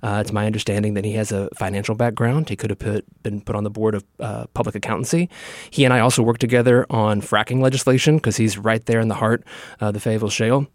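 An American man speaks quickly in a fairly low, even voice. He is a politician answering interview questions with his opinions, and the sound is dry. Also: dry recording. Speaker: state senator being interviewed.

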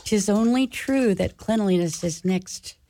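A woman's voice: mid-range voice, unhurried, dark tone, close to an everyday voice. A woman playing an earnest, hesitant nun in a community radio play. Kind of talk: community radio drama acting.

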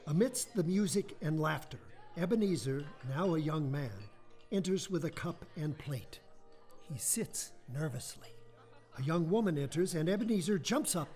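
A woman's voice: sits low, lively intonation, normal speed, mellow, soft background music. A woman giving scripted narration for a radio play.

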